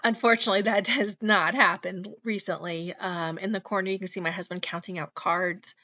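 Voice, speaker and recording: A woman in her thirties hosting her own channel, chatting casually in a voice-over, her voice slightly hoarse after being sick, with frequent ums. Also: medium pitch, steady tone, a little fast, bright, forceful tone, no echo, lo-fi sound. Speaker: channel host, reseller. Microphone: voice-over mic.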